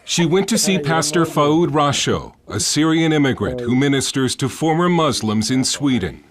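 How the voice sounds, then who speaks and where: A man's voice: fairly high pitch, lively intonation, normal speed, crowd noise. A man narrating a scripted news report as a reporter.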